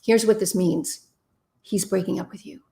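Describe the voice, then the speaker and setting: A middle-aged woman with a warm voice hosts a live show, reading out viewer questions and answering them with blunt, direct advice. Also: mid-range voice, steady tone, normal speed, creaky. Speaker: relationship advice show host.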